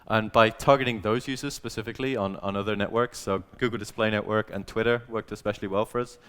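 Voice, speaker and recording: A man's voice: lowish voice, steady tone, fast talker, fairly bright tone, clear, resonant tone. A man presenting at a business event, explaining things in a casual, conversational way with plenty of "like". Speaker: conference speaker, growth manager. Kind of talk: informal business presentation to an audience.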